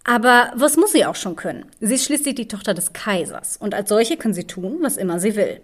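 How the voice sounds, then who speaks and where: Woman podcast host reading a fairy tale, mid pitch, expressive storyteller. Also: fairly quick. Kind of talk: fairy-tale narration and discussion.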